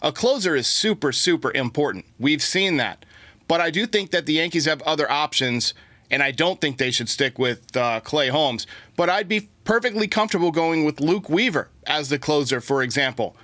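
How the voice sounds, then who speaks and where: A man's voice: a little high, expressive, moderate pace, sharp tone, no echo. A man hosting a sports podcast, giving blunt, opinionated baseball commentary and answering listener voicemails.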